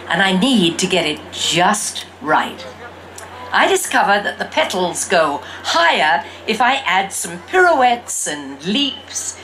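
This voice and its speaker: Woman voicing an animated little fairy princess girl. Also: mid-range voice, slight background noise, rough recording. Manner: expressive, theatrical, drawn-out emphasis. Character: exuberant young girl who fancies herself a fairy princess. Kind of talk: first-person storybook read-aloud.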